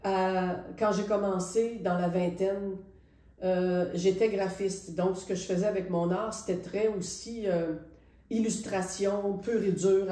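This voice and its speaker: A middle-aged woman with a Quebec French accent talks casually and reflectively about her painting, hesitating and pausing often. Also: mid-range voice, warm tone. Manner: reflective, hesitant, with many pauses. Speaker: painter presenting her artwork. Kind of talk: casual spoken art presentation. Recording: roomy echo.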